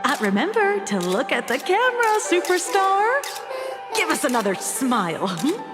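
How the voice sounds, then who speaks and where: A woman voicing a smug, patronising TV producer in a game, with a condescending, drawn-out delivery. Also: high-pitched, huge pitch swings, big-room sound, over music. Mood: smug, coaxing. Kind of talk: dramatic game voice acting.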